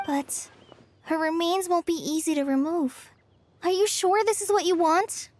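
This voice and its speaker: An actress playing a gentle, humble young woman in a video game, speaking in an earnest, polite and hesitant way. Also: extremely high pitch, a little slow, a little hiss or hum. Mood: hesitant, worried.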